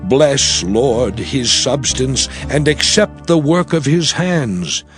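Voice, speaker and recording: An actor playing Moses as a solemn old prophet, declaiming scripture slowly and deliberately in a dramatized reading. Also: male voice, mid-range voice, animated, a bit bright, soft background music. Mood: solemn pleading. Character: Moses, solemn old male prophet blessing and exhorting his people.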